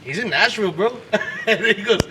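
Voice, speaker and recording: A man's voice: high-pitched, animated, a little fast, heavy background noise. A man in his twenties, telling a story animatedly with laughter and swearing. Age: 20s. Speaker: podcast co-host, musician. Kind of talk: casual storytelling.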